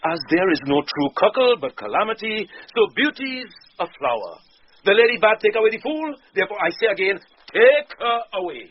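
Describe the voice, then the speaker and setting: A man's voice: high-pitched, sing-song, a little fast, slight background noise. A man playing an impudent, witty court fool in a Shakespearean radio drama, teasing with playful riddles and mock logic. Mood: mock-serious teasing. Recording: dull 1990s radio recording, faint music.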